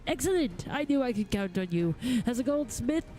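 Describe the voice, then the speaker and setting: A woman's voice: on the high side, very animated, normal speed, dark tone. Pompous lordly goldsmith for a fantasy game, played by a woman, flowery, theatrical, music underneath. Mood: grandiose. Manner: formal, pompous, precise. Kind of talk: reading fantasy game dialogue aloud.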